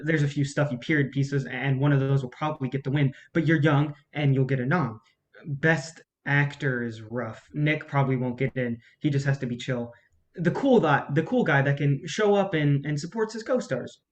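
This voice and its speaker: An actor in a staged reading playing a blunt, defiant film campaign colleague who talks insistently. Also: male voice, medium pitch, normal speed, a little hiss or hum, lightly acted. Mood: brisk, practical.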